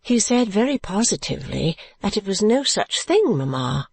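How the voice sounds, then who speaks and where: Female narrator as modest young woman in period novel: raised pitch, gentle, earnest, demurring. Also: big pitch swings, moderate pace, vocal fry, breathy edge, exaggerated voice. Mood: gently protesting. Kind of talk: dramatised classic novel reading.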